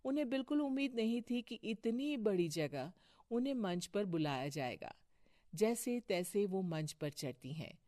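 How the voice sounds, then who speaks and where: A woman narrating a cartoon moral story in a steady storytelling voice. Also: mid-range voice, measured pace, no room sound, studio quality.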